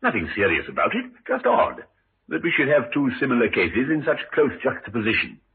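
An actor playing a genial, shrewd older small-town doctor in a 1950s radio drama, with a husky voice and a musing, avuncular manner. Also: male voice, medium pitch, huge pitch swings, fairly quick, a little hiss or hum. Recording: muffled, thin old radio recording.

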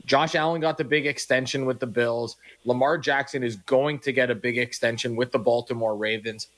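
A man's voice: medium pitch, fairly even intonation, moderate pace, fairly bright tone. A man hosting sports radio, talking casually and thinking aloud with "like" and restarts.

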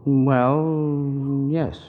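An actor playing a brisk, protective amateur sleuth in a 1940s radio mystery. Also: male voice, mid-range voice, little pitch movement. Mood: friendly, conspiring. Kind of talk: 1940s radio drama acting. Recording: dull, muffled old radio recording.

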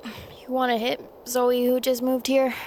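A woman acting as a wary teenage girl in an audio drama. Her voice is high and youthful, guarded and easily spooked. Faint noise sits around her. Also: completely flat delivery, measured pace, darkish tone, lightly acted.

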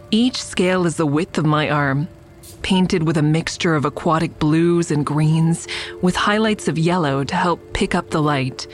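Low measured lady tender reflective narrator in an audio drama, bit of music under it. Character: reflective woman admiring a friend's mural, tender. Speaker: narrator and lead character.

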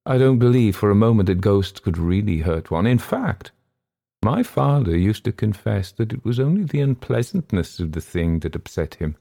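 Man playing an assured old gentleman, animated, raised pitch. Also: normal speed, creaky. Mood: calm, assured. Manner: scoffing, assured. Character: dismissive, unafraid old gentleman.

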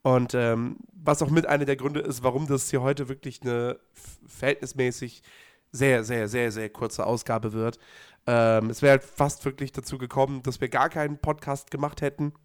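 A man co-hosting a podcast, hoarse from a cold, chatting casually with lots of fillers and restarts. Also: mid-range voice, fairly even intonation, moderate pace. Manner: rambling, many fillers and restarts. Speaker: podcast co-host. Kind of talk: casual chat.

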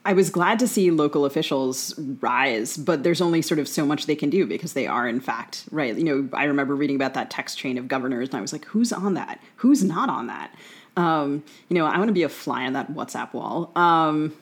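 A middle-aged woman co-hosting a podcast, interviewing conversationally in a measured, wry, wordy manner. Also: sits low, animated, breathy edge. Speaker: podcast co-host.

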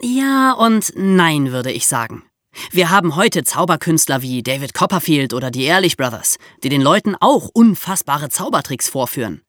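A woman's voice: deep voice, very animated, normal speed, no echo, clean studio sound. A woman co-hosting a podcast in casual discussion. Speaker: podcast co-host.

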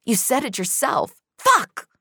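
A woman's voice: high voice, wildly swooping pitch, takes it slowly, bright, forceful tone, breathy edge, dry recording, clean studio sound, voice pushed up, exaggerated voice. A woman voicing an anxious, blurting female agent in an audio drama. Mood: panicked. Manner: blurting, emotional, swearing. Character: foul-mouthed, anxious female dream-deleting agent.

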